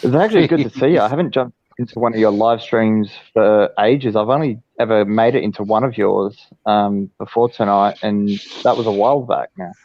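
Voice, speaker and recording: Soft-spoken, hesitant man, dull audio. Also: lowish voice, brisk, few pauses. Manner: soft, hesitant, full of fillers. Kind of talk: casual chat.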